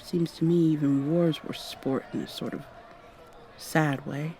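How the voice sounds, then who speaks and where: A woman playing a brisk, awkward time traveler in an ASMR roleplay, talking to the listener in a rambling, wry way and thinking out loud. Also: low voice, steady tone, slight background noise. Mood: rambling, musing. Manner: rambling, hesitant, thinking out loud mid-sentence. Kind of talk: ASMR roleplay, acted one-sided dialogue to the listener.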